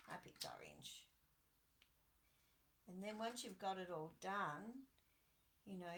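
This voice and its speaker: A woman's voice: a little high, creaky, a bit bright, slight background noise. A woman hosting a live children's craft session, chatty and encouraging as she talks straight to young kids. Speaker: children's storytime host. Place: at home on a live video stream.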